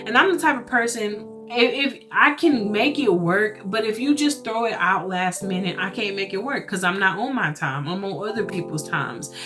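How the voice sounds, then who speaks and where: A woman with a loud, carrying voice telling a personal faith story in casual, earnest and emphatic talk with rhetorical asides. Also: medium pitch, lively intonation, moderate pace, few pauses. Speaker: churchgoer sharing a personal testimony. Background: soft guitar music.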